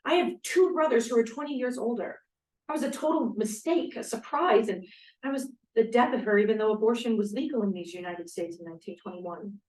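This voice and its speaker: A woman's voice: on the high side, fairly quick, a little mellow, close to an everyday voice. Earnest young bride-to-be played by a woman, echoey room. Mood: wistful. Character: earnest young 1940s bride-to-be longing for home and family. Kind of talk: script read-through acting. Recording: distant, echoey room microphone.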